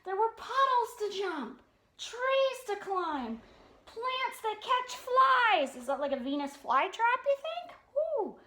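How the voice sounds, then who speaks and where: Storytime reader: woman, very high, animated voice, sing-song delivery, roomy echoey recording. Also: a little hiss or hum. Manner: sing-song, expressive, pauses to question young listeners. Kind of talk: animated read-aloud of a children's picture book with chatty asides.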